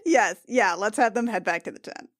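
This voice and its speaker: A woman's voice: medium pitch, lively intonation, moderate pace, a bit bright, no echo, clean studio sound. A woman playing in a tabletop roleplaying podcast, telling an improvised story in a casual, playful way.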